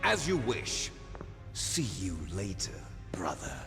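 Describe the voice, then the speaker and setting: A man's voice: lowish voice, huge pitch swings, slow pace, creaky, full character voice. An actor playing an imperious, menacing male terrorist commander in a video game, with a theatrical, taunting delivery. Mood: stern, commanding. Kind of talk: dramatic video game voice acting. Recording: long hall-like reverb. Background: music.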